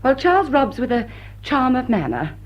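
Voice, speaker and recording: A cool, flirtatious society lady thief in a period radio drama. Her voice sits high and swoops with lively intonation. The delivery is arch and poised with dry wit, and the audio is slightly dull. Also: normal speed, a little hiss or hum. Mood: amused, flirtatious. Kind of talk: classic stage comedy radio drama acting.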